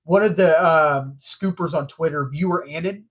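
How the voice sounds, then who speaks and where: A man's voice: on the high side, moderate pace. A man hosting a casual movie news livestream, stuffed up from allergies, rambling and repeating himself with frequent ums.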